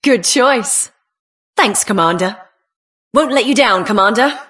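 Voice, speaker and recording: A woman's voice: fairly high pitch, expressive, measured pace, a bit bright. A woman with a British accent playing a plucky, cheeky builder hero in a video game. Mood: eager, confident. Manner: cheeky, plucky, punchy short exclamations.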